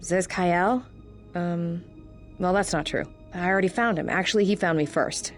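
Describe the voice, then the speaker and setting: An earnest, streetwise female bounty hunter played by an actress in a video game. Her voice is on the low side, conversational and matter-of-fact, with faint music behind. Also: moderate pace, close to an everyday voice.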